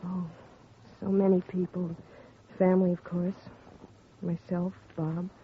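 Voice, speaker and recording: An actress playing a grieving young woman whose father has been murdered, speaking softly and earnestly in a 1950s radio drama. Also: on the low side, little pitch movement, unhurried, close to an everyday voice. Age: young adult. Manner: soft and earnest, recounting quietly. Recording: tinny lo-fi 1950 radio broadcast with faint hiss.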